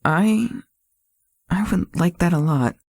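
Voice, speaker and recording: A woman's voice: on the low side, slow, gravelly, darkish tone, no echo, studio quality, heavily acted. A woman voicing a shy, easily flustered, sweet young man in a visual novel, with a hesitant manner. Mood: shy, bashful. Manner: hesitant, stammering when embarrassed. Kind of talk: visual novel character acting.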